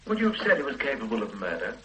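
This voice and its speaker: An actor playing a measured, dryly authoritative police detective in a radio drama. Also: male voice, mid-range voice, huge pitch swings, a bit bright, a little hiss or hum, poor audio. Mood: probing. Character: methodical middle-aged police detective superintendent. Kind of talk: 1970s radio drama acting.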